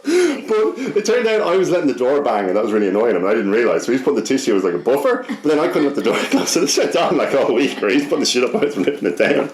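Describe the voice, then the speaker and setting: A man's voice: mid-range voice, very animated, rapid-fire, hardly any pauses, echoey room, slight background noise. A young Irish man telling a personal story casually as a podcast guest, sweary, rambling and often interrupting himself.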